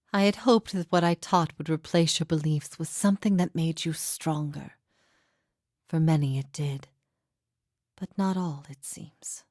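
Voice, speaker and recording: A grave female teacher of a lost faith in a fantasy game, long imprisoned and forgiving. Her voice is low and closely dry, and she speaks in measured, reflective phrases, posing solemn questions like a philosopher. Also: darkish tone, no echo. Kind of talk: scripted fantasy game dialogue.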